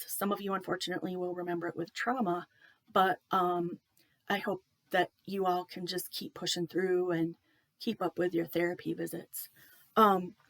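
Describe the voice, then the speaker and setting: Wry, slow-talking woman with dry sound. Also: medium pitch, no echo. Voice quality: slightly nasal. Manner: joking, wry, many "um" pauses. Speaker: video creator. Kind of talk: self-deprecating talk to camera.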